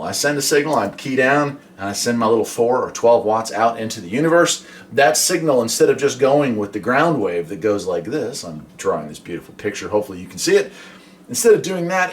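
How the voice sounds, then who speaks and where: An American man hosting a casual explainer about his radio hobby, talking in a folksy, conversational way with rhetorical questions. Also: medium pitch.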